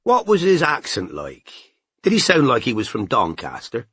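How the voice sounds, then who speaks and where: A gruff, clipped investigator , played by a male narrator, with a low husky voice. He asks short, flat questions, recorded close and dry. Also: normal speed, no echo, exaggerated voice. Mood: questioning. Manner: terse, probing questions. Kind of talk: audiobook character voice.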